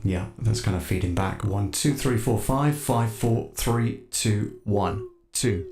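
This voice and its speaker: A man hosting a casual live software demo, thinking aloud in a halting way and muttering test counts as he troubleshoots. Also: lowish voice, music in the background. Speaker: live stream host and audio tutor.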